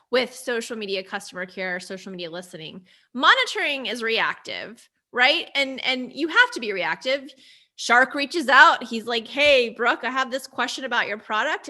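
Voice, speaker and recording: A woman's voice: on the high side, pushed and bright. A middle-aged woman with a youthful, girlish voice, talking conversationally as an interview guest. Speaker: interview guest, agency founder.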